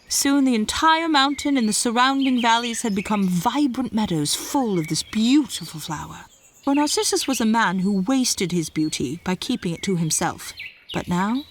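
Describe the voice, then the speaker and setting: A woman giving a scripted storytelling narration in a measured, dramatic way. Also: medium pitch.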